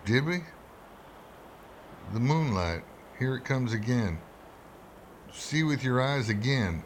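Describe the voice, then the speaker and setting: A man's voice: medium pitch, animated, slow, slight background noise. A man playing a stern, urgent small-town doctor in old-time radio horror style, commanding and dramatic. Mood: grim, haunted.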